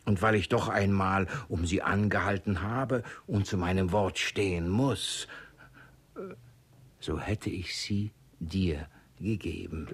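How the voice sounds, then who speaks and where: A man's voice: deep voice, slow pace, slightly rough, exaggerated voice. An actor playing a cunning, suspicious old miser and father in a classic comedy radio play, probing and repeating little words. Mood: feigned regret.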